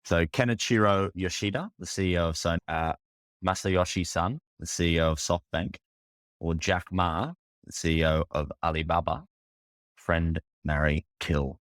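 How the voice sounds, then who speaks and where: A man's voice: deep voice, normal speed, fairly bright tone, no echo. A man hosting an investing podcast, reading an upbeat scripted intro and then asking chatty questions. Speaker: podcast host. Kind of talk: hosting an interview.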